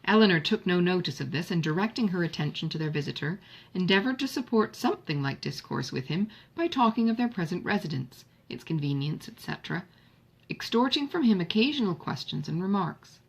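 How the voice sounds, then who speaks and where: A woman narrating a classic novel as an audiobook reader, in an expressive scripted literary style. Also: on the low side, brisk, gravelly, husky.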